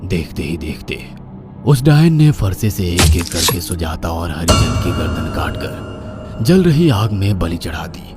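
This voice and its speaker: A man's voice: sits low, very animated, a little slow. A man giving a dramatic, scripted narration of a horror story. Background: music.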